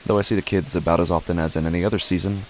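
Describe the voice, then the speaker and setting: A man's voice: fairly even intonation, very fast, slight background noise, rough recording. A man reading scripted horror fiction as a first-person narrator. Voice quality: low-pitched. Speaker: podcast host and narrator.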